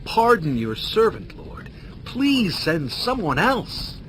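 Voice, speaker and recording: Casting: humble doubting prophet in audio scripture drama, fairly high voice, pleading, lively inflection. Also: male voice, slow pace, background noise, heavily acted. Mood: pleading, anxious. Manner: pleading, hesitant, earnest. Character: Moses, anxious, doubting, humble male Hebrew prophet.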